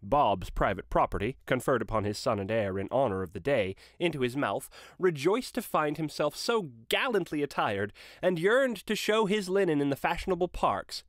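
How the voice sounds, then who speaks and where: A man narrating a classic story aloud in an expressive storytelling style. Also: fairly high pitch, normal speed, few pauses, no room sound, studio quality.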